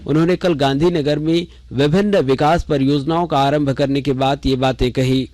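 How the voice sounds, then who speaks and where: Male radio newsreader, voice a little higher than average, dry studio sound, formal measured bulletin delivery. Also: fairly even intonation, a little mellow, no room sound. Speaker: news reader. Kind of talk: formal scripted news bulletin reading.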